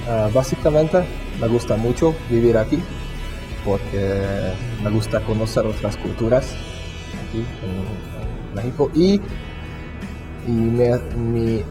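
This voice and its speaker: A man from Hungary with a Hungarian accent, a postdoctoral researcher giving an interview testimonial and searching for his Spanish words. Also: lowish voice. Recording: music bed underneath.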